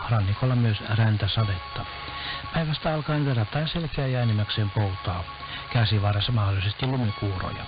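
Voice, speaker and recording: A man, a radio DJ, reading a weather forecast over shortwave radio. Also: on the low side. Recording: muffled shortwave radio with loud music. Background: music.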